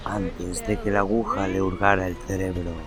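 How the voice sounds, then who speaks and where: A man narrating a horror story in a measured, dramatic way, with lingering pauses. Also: low-pitched, little pitch movement. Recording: narration over background music.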